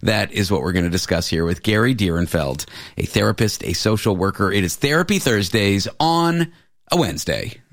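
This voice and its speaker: A man's voice: lowish voice, expressive, moderate pace, dry recording. His voice moves up and down freely, full of animation. He is a man in his late thirties hosting talk radio in a casual, self-mocking way. The sound is dry and close, as from a studio microphone. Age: late 30s. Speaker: radio show host.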